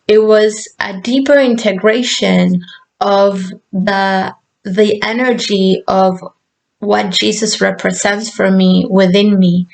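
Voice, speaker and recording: A woman from Argentina recounts a personal spiritual experience, speaking in a slow, unhurried way. Her voice sits at a middle pitch, soft-spoken and meandering, and she pauses often with fillers. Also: low-quality recording. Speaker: spiritual channel sharing a personal story. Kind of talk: unscripted personal storytelling.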